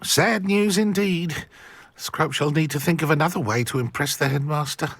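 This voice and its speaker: An actor playing a small, servile, plaintive male house elf in a video game, with a raspy voice. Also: a little high, moderate pace, a bit bright, dry recording, exaggerated voice. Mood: wistful, resigned. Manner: servile, plaintive, refers to self by name. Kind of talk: video game character acting.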